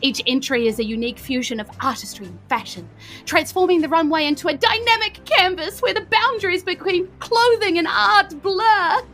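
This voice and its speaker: Casting: woman playing an excited, formal fashion show hostess for a tabletop game, projecting high and bright. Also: normal speed, faint music behind. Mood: grand, delighted. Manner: theatrical, emphatic, formal announcing delivery. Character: grand, excited female fashion show announcer addressing a crowd.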